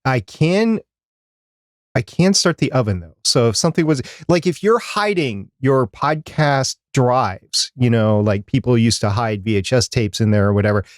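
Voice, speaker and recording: A man's voice: medium pitch, animated, moderate pace, no room sound, clean studio sound. A man co-hosting a podcast in casual banter, talking in a chatty, rambling way. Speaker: podcast co-host.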